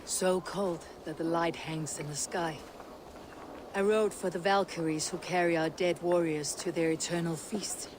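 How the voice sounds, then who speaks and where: Norse female raider leader played by an actress, husky and low, blunt confidence, game ambience noise behind. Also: fairly even intonation, a little slow, close to an everyday voice. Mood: resolute. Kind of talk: video game cutscene acting.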